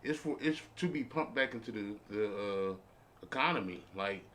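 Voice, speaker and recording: A man's voice: fairly low pitch, expressive, moderate pace, fairly bright tone, a little hiss or hum. An American man on a podcast panel, explaining his view casually with colloquial, emphatic repetition.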